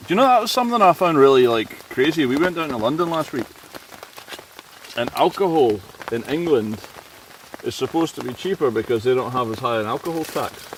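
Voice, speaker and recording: A laid-back man with a Scottish lilt blurred by time in Cornwall and Canada chats by a campfire, laughing often. His voice sits at an ordinary middle pitch for a man. Steady rain patters clearly behind him outdoors. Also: fairly quick, slight background noise, poor audio. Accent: Scottish, mixed with time in Cornwall and Canada. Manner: relaxed, chatty, laughs easily. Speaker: outdoor vlogger. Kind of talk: casual chat by a campfire. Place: woodland camp outdoors in heavy rain.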